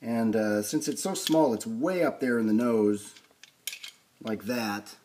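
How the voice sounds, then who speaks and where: A man with a fairly low voice hosts a hands-on restoration video. He talks casually and without a script, thinking aloud as he explains each step of the work. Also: takes it slowly, darkish tone, slight background noise. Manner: unscripted, thinking aloud while working. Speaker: host of a vintage record player restoration video series. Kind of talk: casual hands-on repair demonstration talk.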